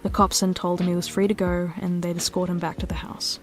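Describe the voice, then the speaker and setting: A woman's voice: on the low side, little pitch movement, brisk, lightly acted. A woman narrating a scripted story as the narrator of an audio drama. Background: faint music.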